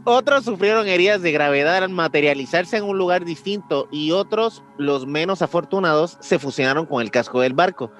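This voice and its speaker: Laughing Mexican podcast co-host. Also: male voice, on the high side, expressive, a little fast, hardly any pauses, a bit bright. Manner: laughs easily, reacts with "qué horror". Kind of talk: casual chat, partly reading the story.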